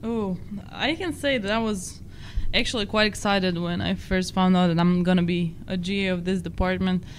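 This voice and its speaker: A woman's voice: lowish voice, steady tone, normal speed, slight background noise. Croatian-accented young woman, chatty. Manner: chatty, self-deprecating, non-native phrasing. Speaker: podcast co-host. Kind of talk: casual chat.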